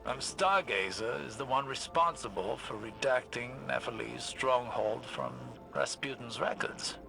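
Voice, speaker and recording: An actor playing a thoughtful, scholarly man who speaks formally and with measured care in a video game. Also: medium pitch, creaky, bright, forceful tone, heavily acted. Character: contemplative, scholarly man pondering old records. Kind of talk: scripted game dialogue. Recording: music behind the voice.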